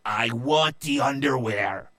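A man's voice: mid-range voice, slow pace. A man voicing a bossy, ruthless woman pirate captain and big sister in a storybook tale, with a commanding delivery. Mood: bossy, callous. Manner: yelling, commanding.

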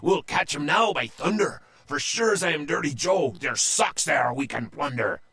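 A man's voice: a little high, lively intonation, normal speed, gravelly, bright, forceful tone, pitched above the performer's own voice, exaggerated voice. A man voicing a boastful, bossy male pirate captain in a storybook reading, roaring his orders. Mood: blustering.

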